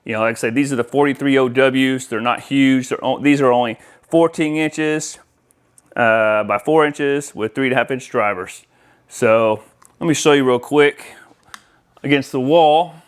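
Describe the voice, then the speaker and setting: Clear-voiced man casually presenting a product. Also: medium pitch, moderate pace. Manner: informal, explanatory, addressing viewers directly. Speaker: presenter for an audio-video installer.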